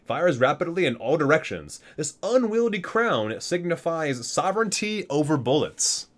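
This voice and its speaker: A man's voice: on the high side, big pitch swings, moderate pace. A man hosting an ASMR video, reading aloud from a guidebook with theatrical emphasis on titles and casual muttered asides.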